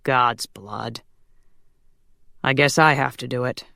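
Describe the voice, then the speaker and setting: Lady doing grim vampire boss man in an audiobook, deep for her, reluctant, very dry sound. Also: steady tone, normal speed, fairly bright tone, no echo. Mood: reluctant, exasperated.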